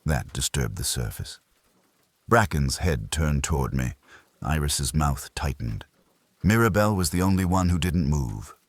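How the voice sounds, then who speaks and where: Very deep husky man narrating a detective story. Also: lively intonation, a little slow, no room sound. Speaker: audiobook narrator. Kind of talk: scripted first-person detective story narration.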